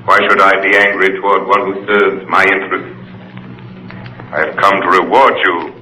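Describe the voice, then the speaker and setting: A man's voice: low-pitched, flat delivery, normal speed, sharp tone. An actor playing an imperious ancient pharaoh speaking from his tomb, formal and commanding, in 1930s radio thriller style. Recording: echoey 1930s radio recording.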